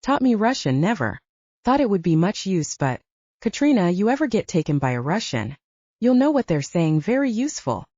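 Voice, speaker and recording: A woman's voice: medium pitch, very animated, moderate pace, dark tone, no echo. A woman voicing a young female werewolf soldier in an audiobook, candid, self-mocking and chatty. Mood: candid, wry. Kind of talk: audiobook character dialogue. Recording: dull, lo-fi sound.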